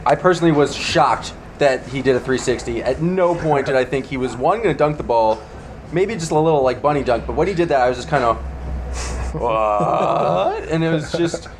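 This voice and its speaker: A man's voice: a little high, lively intonation, normal speed. A man chatting informally about basketball as a podcast panelist. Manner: informal, conversational. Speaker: sports podcast co-host, panelist. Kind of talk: casual basketball post-game chat. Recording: faint background ambience noise.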